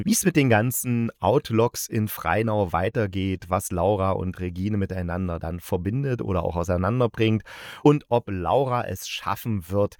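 A man's voice: on the low side, a little mellow, no echo. Casual male podcaster with a dry, close sound. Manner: halting, searching for words, self-correcting; playful, jokey. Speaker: podcast host reviewing radio plays. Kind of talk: casual solo review, chatty.

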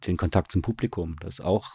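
A man giving casual interview answers as a guest, haltingly searching for his words. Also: low voice, mellow, slightly rough, no room sound, studio quality. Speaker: radio drama director, interview guest.

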